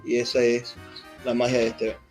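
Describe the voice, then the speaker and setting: A man speaking off the cuff at a public ceremony, deliberately, pausing to search for his words. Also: mid-range voice, completely flat delivery, darkish tone, faint music behind. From Venezuela. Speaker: festival organizer giving a speech. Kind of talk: extemporaneous ceremonial speech. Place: theatre stage at a public event.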